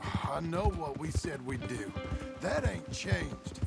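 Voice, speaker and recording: An actor playing a gruff, dry ex-outlaw cowboy turned rancher, with an American Southern drawl, in a western game. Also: male voice, a little high, lively intonation, slow pace, over loud music, exaggerated voice. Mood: dry, serious. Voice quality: gravelly. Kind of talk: cinematic western game acting.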